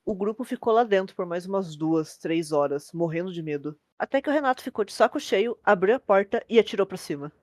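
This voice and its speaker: A woman's voice: medium pitch, expressive, brisk, few pauses, darkish tone. A woman with a Brazilian accent, hosting and narrating a creepypasta story. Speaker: host and narrator.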